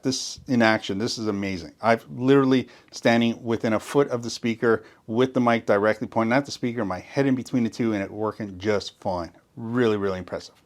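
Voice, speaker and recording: A man walking viewers through a sound system setup in a step-by-step tutorial, enthusiastic and explanatory, often stressing what is very important. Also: medium pitch. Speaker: gear demonstrator. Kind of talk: tutorial walkthrough.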